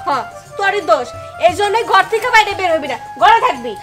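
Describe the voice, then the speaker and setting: A woman's voice: extremely high pitch, sing-song, quick pace, over music. A woman with a Bangladeshi accent playing a strict, exasperated mother who scolds and nags in a comedy drama. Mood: scolding. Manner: scolding, nagging.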